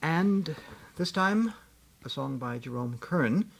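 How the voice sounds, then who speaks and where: Radio interview guy, gravelly voice, loose rambling questions, lots of mm-hmms. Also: on the high side, expressive, slow. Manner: chatty, rambling questions with frequent murmured agreement.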